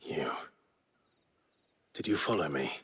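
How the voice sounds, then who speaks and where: An actor playing a brooding, courteous young warrior in a dramatic video game cutscene, restrained and grave, with a gravelly low voice. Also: male voice, expressive, slow pace, fairly bright tone, exaggerated voice. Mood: wary.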